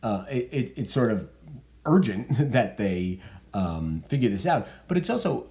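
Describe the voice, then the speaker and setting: A man teaching a literature lesson, explaining in a relaxed way with frequent ums and restarts. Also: fairly low pitch, unhurried. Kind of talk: spoken literature lesson with commentary.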